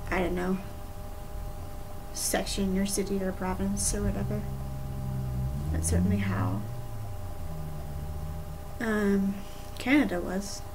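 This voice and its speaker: A young adult woman chats casually on a livestream, musing to herself in short bursts as she works. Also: mid-range voice. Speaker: animator livestreaming her work. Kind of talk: casual livestream chat while working. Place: indoor room at a desk. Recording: clear steady background noise.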